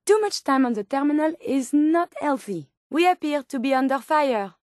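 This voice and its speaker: A woman's voice: high-pitched, lively intonation, moderate pace, a little mellow, dry recording, clean studio sound. An actress voicing a polite, earnest, curious female medical robot companion in a video game, with formal, precise, quaint phrasing.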